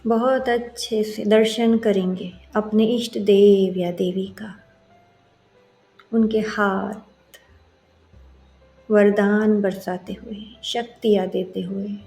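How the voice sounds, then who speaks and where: A woman leading a guided meditation as a yoga instructor, soothing and gently instructing with long pauses. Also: mid-range voice, steady tone. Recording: roomy echo. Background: soft music.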